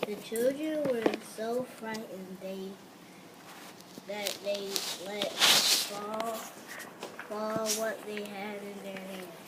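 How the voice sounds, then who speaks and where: A female reader narrating a fairy tale aloud in a halting way, stumbling over and repeating words. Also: medium pitch, steady tone, heavy background noise. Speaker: storybook reader.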